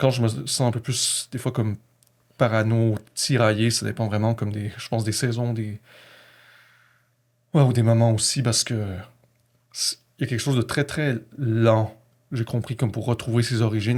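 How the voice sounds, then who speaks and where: A man with a Québécois accent reflecting personally in conversation, feeling his way through sentences and often correcting himself. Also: lowish voice. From Quebec, Canada.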